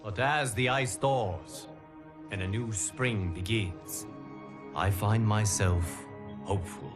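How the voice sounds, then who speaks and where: An actor playing a solemn guardian of timelines, narrating a video game story in a formal, measured, dramatic manner. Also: male voice, fairly low pitch. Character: solemn male guardian of timelines addressing his champions. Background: music.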